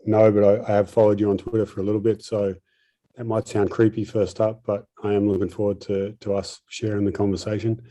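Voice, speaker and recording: Brief: Australian man, low flat delivery, easygoing and self-deprecating, speaking over a video call. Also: a little fast. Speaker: performance coach. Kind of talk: panel introduction on a video call.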